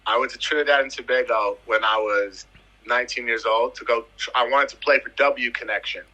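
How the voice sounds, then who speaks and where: A man in his thirties from the US Virgin Islands tells his football story in a relaxed interview. His voice is very bright and edged with husk, and he leans on repetition for emphasis. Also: medium pitch, fairly quick, muffled recording. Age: 30s. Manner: animated, emphatic, repeats phrases for effect. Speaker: footballer guest.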